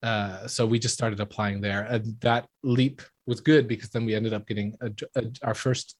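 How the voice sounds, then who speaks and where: A man's voice: fairly low pitch, moderate pace, no room sound, studio quality. A man from the Netherlands chatting casually as an interview guest, telling stories and acting out what people said. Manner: animated storytelling, acting out quoted remarks. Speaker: interview guest, school drama teacher. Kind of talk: casual anecdotal chat.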